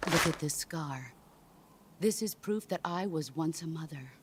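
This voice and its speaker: A woman playing a stoic, world-weary middle-aged soldier and mentor in a game cutscene, speaking in a solemn, measured way. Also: sits low, expressive, noisy background. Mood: quiet, sorrowful. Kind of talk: dramatic game cutscene monologue.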